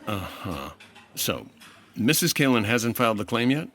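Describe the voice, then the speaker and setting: A man's voice: lowish voice, sing-song, normal speed, a bit bright, exaggerated voice. A man playing a patient, wry 1950s insurance investigator in old-time radio detective style, dry and understated. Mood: measured, reluctant. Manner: dry, understated, world-weary.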